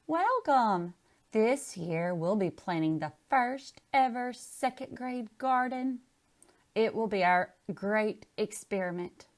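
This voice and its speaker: A woman voicing a cheerful, encouraging female primary school teacher, animated as in a picture book read-aloud. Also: fairly high pitch, slow, pitched above the performer's own voice. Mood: enthusiastic.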